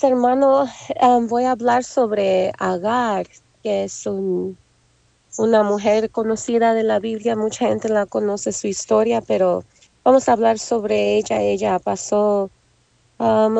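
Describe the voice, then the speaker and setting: A hoarse woman preaching over a phone line as a guest speaker, humble, saying amen often and filling pauses with "am.". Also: medium pitch, dark tone, no echo. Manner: humble, many "am" fillers, frequent amens. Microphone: phone call.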